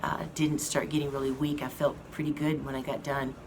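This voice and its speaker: A hoarse woman giving a slow, halting update to her viewers from a hospital room. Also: very deep voice, little pitch movement. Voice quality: slow, halting speech with many fillers. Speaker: vlogger giving a health update. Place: hospital rehab room with an air conditioning fan. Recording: noisy room with fan hum. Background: air conditioning fan.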